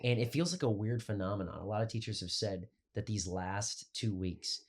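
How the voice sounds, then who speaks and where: A man co-hosting a casual podcast, joking and telling animated stories, often calling others "dude". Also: lowish voice, moderate pace. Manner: joking, animated storytelling, says "dude" a lot. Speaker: co-host, teacher.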